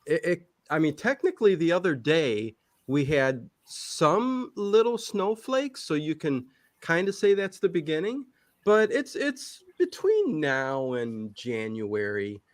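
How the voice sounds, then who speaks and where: A man's voice: fairly high pitch, big pitch swings, slow pace, dry recording. A man with an American Midwestern accent, talking casually and colloquially as a guest, often repeating himself. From Cleveland, Ohio, United States. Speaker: guest, horror show streamer. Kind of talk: casual chat.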